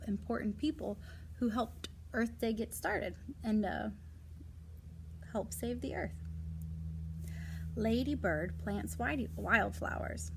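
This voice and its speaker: A woman's voice: on the high side, background noise. A woman reading non-fiction picture books aloud to young children, adding casual asides, simple explanations and questions to the listeners.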